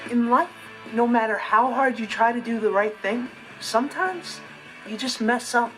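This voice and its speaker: A man's voice: very high-pitched, normal speed, music in the background, rough recording. A young American man playing an earnest, put-upon teenage boy in a sitcom monologue, complaining emphatically and indignantly. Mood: rueful. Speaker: sitcom actor.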